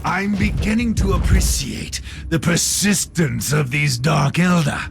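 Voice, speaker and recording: An actor playing a grim, battle-hungry warrior in a video game, with a gravelly voice and dry, confident menace. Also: male voice, fairly high pitch, lively intonation, unhurried, over music, exaggerated voice. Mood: grimly confident. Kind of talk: dramatic video game voice acting.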